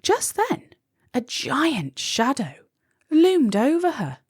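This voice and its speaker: A woman narrating a children's storybook expressively, with deliberate pauses between phrases. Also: high-pitched, huge pitch swings, no echo, studio quality.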